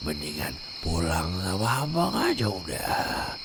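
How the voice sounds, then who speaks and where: A man's voice: low-pitched, wildly swooping pitch, unhurried, background noise, heavily acted. A man playing a drunk, pushy street creep in an audio roleplay, slurring and rambling. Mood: drunk, leering. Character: drunk leering male street creep, pushy, later cowed. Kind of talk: roleplay audio drama acting.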